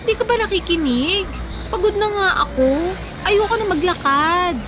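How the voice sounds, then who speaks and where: Woman acting a high-pitched, touchy young woman. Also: expressive, normal speed. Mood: defensive, insistent. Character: hungry, touchy, forgetful thirty-year-old woman friend. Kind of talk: Tagalog radio drama dialogue. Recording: music bed under the voice.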